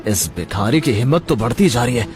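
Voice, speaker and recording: A man's voice: mid-range voice, very animated, brisk, natural voice. A man playing a jealous, scheming shopkeeper villain in a Hindi cartoon story, theatrical and plotting. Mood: spiteful. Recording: background music throughout.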